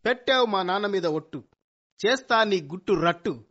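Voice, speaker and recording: A man's voice: sits high, lively intonation, normal speed, a bit bright. A man playing a boastful young amateur detective son in a Telugu comedy radio play, speaking in bombastic vows with English words thrown in. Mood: teasing, suspicious. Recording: dull lo-fi radio play recording.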